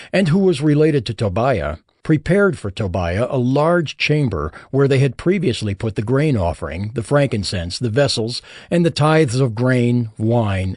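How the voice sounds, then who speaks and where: A man reading scripted scripture narration as a narrator, in a measured, solemn manner. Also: mid-range voice, darkish tone, dry recording, clean studio sound.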